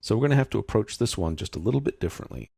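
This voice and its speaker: A man narrating a step-by-step software tutorial as an instructor, explaining in an informal, unscripted way. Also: lowish voice, expressive, rapid-fire, vocal fry, breathy edge, dry recording, studio quality.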